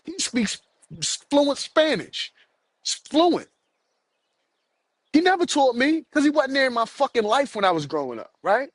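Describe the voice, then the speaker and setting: A man's voice: very high voice, big pitch swings, a little slow, long pauses. A man hosting a solo podcast, ranting angrily and repeating himself as he swears. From United States. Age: adult, has children. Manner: angry, profane, repeats himself. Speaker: podcast host.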